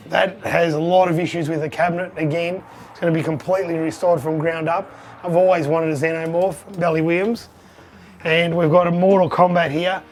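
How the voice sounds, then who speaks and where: A hoarse man who has lost his voice, giving a chatty, upbeat vlog tour of his arcade collection. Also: fairly high pitch. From Australia. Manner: chatty, upbeat, pointing things out.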